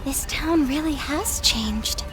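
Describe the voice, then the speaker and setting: A woman playing a gentle, sincere young adventurer in a video game, soft-hearted and earnest. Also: high voice, slow, over music. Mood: sad. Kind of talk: English video game voice acting.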